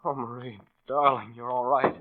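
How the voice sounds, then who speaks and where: An actor playing a distraught, earnest young husband in a 1940s radio drama, speaking in an urgent, melodramatic way. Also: male voice, medium pitch, fairly even intonation, normal speed, heavy background noise, close to an everyday voice. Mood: stunned, relieved. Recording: dull vintage radio recording.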